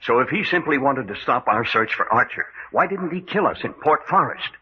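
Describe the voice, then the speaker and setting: A man acting a steady, reasoning adventurer in a radio mystery, reassuring and commanding in an emphatic way. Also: medium pitch, a little fast, natural voice. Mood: urgent, insistent. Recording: dull, noisy old-style radio recording.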